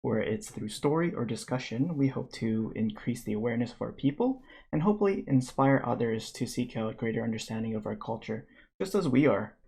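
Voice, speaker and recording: A man's voice: medium pitch, expressive, brisk, gravelly, warm tone, slightly rough. A man hosting a podcast, introducing a guest and asking questions with hesitant fillers. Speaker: podcast host. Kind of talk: casual interview.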